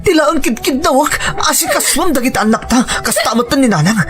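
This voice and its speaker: A man's voice: very high voice, very animated, fairly quick, fairly bright tone, heavily acted. A man playing a hurt, angry husband, accusing and emotional, in a melodramatic audio drama. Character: hurt, angry husband and hardworking overseas worker.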